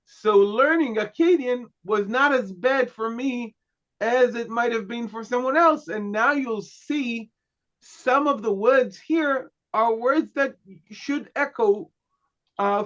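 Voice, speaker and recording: A male teacher with a high voice takes his time, letting each phrase land slowly. He is a native Hebrew speaker and teaches in a loose, joking way. The sound is dull and muffled, like online class audio. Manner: relaxed, repeats "okay" and "right", joking asides. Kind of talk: lecturing.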